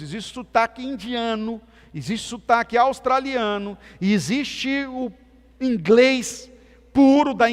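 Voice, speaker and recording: Male pastor, very high voice, Minas Gerais accent, preaching loud then soft, echoing church hall. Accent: Brazilian Portuguese, softened Minas Gerais. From Minas Gerais, Brazil. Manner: preaching with emphatic swings between loud and soft. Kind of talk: sermon. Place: church sanctuary.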